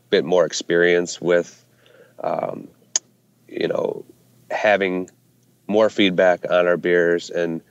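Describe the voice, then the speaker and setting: Low-voiced man, flat and smooth, halting with fillers, dry and slightly dull sound. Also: no echo. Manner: hesitant, many fillers and restarts. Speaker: brewery co-founder, guest. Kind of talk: casual interview answers. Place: Ohio hometown brewery.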